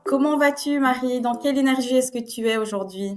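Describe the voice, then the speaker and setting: A woman's voice: sits high, fairly quick, faint music behind. A woman hosting a podcast interview, asking questions in an encouraging, admiring way. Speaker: podcast host.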